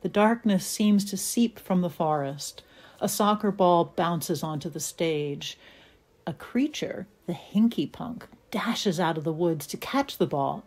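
Female narrator, slightly low register, scripted atmospheric storytelling for an audio play. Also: darkish tone, husky.

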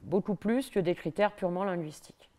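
A middle-aged woman with a Parisian accent giving a university lecture from a lectern in a large hall, lively and ironic, with mocking asides. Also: on the low side, brisk. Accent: Parisian French.

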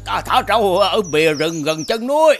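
A man's voice: sits high, lively intonation, normal speed, a bit bright, faint music behind. A man playing a spry, jovial old villager in a folk-tale cartoon.

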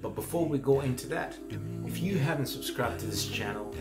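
A man's voice: mid-range voice, lively intonation, brisk, creaky, over loud music. A man in his thirties from Zambia, with a Zambian accent, hosting a home cooking demonstration in a small kitchen. He talks in a chatty, encouraging way, with plenty of fillers and questioning "mm?" tags. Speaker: cooking channel host.

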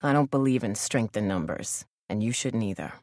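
A woman's voice: a bass voice, animated, normal speed, darkish tone, no echo. A woman voice actor playing a guarded, blunt, protective young survivor of a zombie apocalypse in a video game, with a dry delivery. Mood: dry, cautionary.

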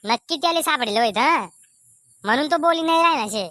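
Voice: high voice, animated, fairly quick, pushed and bright, dry recording. A performer voicing a pushy, suspicious villager in a comedy cartoon, with a Khandeshi Ahirani accent. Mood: accusing. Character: pushy, suspicious villager demanding lost money back.

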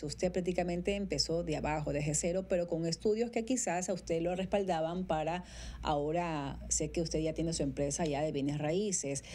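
A woman's voice: on the low side, warm tone. A middle-aged woman conducting a broadcast news interview on a studio mic. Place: radio studio. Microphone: studio mic on boom arm, headphones.

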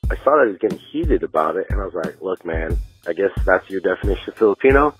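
Low-voiced man leaving a voicemail. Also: lively intonation, fast, poor audio. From Inland Empire, California. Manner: hesitant, casual storytelling. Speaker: voicemail caller. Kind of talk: voicemail story. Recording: voicemail with music underneath.

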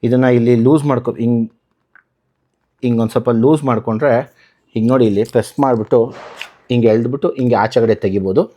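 A man's voice: mid-range voice, fairly even intonation, fast, pauses often, warm tone. A man from Karnataka, India, talking casually as a vlogger through a hands-on tutorial, explaining by posing questions and answering them. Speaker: motovlogger, channel host.

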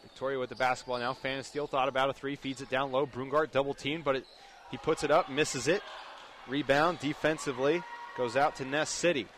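A man's voice: medium pitch, steady tone, brisk, a bit bright. A young man doing live radio play-by-play commentary of a basketball game as the announcer. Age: young adult. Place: indoor high school basketball gym. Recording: clear gym noise behind commentary. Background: game noise, bouncing basketball.

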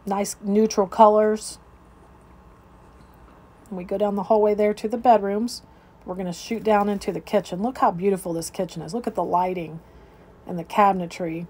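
A woman's voice: medium pitch, fairly even intonation, normal speed, pauses often, dark tone, breathy edge. A woman real estate agent narrating an informal, unscripted walkthrough of a home, describing each room as she moves through it. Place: indoors, moving through rooms of a house.

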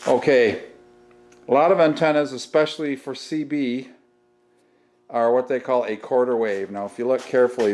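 Middle-aged male DIY host, folksy and unscripted, mid-pitched, with faint outdoor-style noise behind him. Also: a little mellow. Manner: folksy, thinking aloud as he works. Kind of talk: casual hands-on how-to explanation. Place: outdoors by a house and in a barn workshop.

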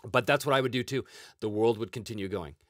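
A man's voice: on the low side, fast talker, dry recording, clean studio sound. A Canadian man in his forties, a host answering viewer questions in a rambling, chuckling casual chat from a small home room. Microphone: headset-style, webcam framing.

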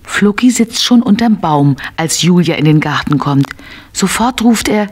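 A woman's voice: on the low side, expressive, measured pace, a little mellow, husky. A woman narrating a scripted children's story as the narrator of a radio play.

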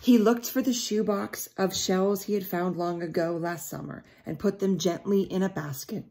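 A middle-aged woman reads a picture book aloud to children, deliberately, pausing to ask them questions. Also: medium pitch, fairly even intonation, warm tone. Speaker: teacher reading aloud to children. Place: small indoor room at home.

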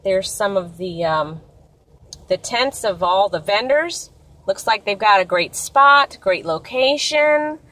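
A woman's voice: medium pitch, lively intonation, takes it slowly, fairly bright tone. A middle-aged American woman chatting casually to her vlog from inside a car. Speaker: vlogger. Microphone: phone at arm's length.

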